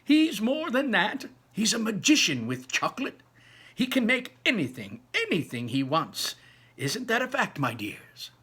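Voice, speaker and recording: Man playing an excitable, high-pitched old grandfather. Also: unhurried, slightly rough, exaggerated voice. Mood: excited, emphatic. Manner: emphatic, exclaiming, marveling. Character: excitable, astonished old grandfather over ninety. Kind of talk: storybook read aloud.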